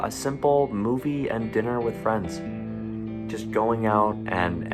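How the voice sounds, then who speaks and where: A young man talking reflectively in a documentary interview. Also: low-pitched. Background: guitar music.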